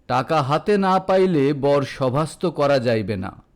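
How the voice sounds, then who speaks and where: A man's voice: fairly high pitch, animated, unhurried. A man voicing a proud, money-minded father of a groom in a story reading, stern and indignant.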